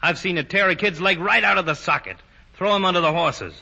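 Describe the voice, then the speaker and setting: An actor playing a sly, coolly menacing crooked horse owner in a 1940 radio drama, with insinuating, slangy tough talk. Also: male voice, high voice, brisk, gravelly, sharp tone, full character voice. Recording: dull vintage 1940 radio recording.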